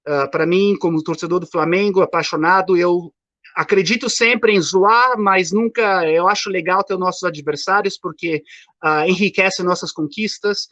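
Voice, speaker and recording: Man in his fifties, a caller. His voice is on the high side and he rambles with lots of fillers, heard over a dull, lo-fi remote line. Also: normal speed, bright, forceful tone, dry recording. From São Paulo, Brazil. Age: 50s. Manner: rambling, many fillers and restarts. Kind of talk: casual chat. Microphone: remote call.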